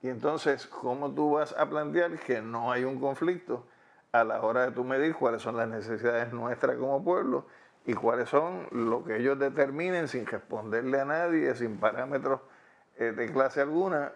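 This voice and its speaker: A man answering interview questions in long, deliberate, argued sentences. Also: medium pitch, vocal fry. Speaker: interviewee on fiscal policy. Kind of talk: measured explanatory interview talk.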